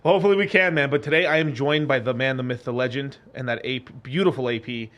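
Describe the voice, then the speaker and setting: A man's voice: mid-range voice, fairly even intonation, fast, fairly bright tone. A young man hosting a casual crypto podcast chat, laid-back and loose, dropping "man" and "dude" often. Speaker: crypto show host.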